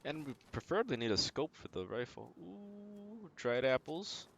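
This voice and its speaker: A man giving casual, low-key video game commentary, thinking aloud as he plays. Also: sing-song, normal speed, vocal fry, a bit bright, slight background noise. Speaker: game streamer.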